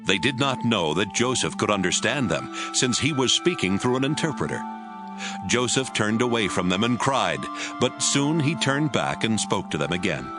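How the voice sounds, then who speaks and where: Low-pitched male narrator over music. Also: lively intonation, moderate pace. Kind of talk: scripted dramatic Bible narration.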